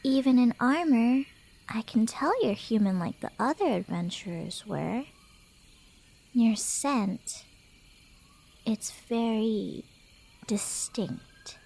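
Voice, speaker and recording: Girl voice actor doing a playful cheshire cat girl, slow asmr roleplay, high voice, teasing and slangy. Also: gravelly, darkish tone, heavily acted. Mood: musing, inquisitive.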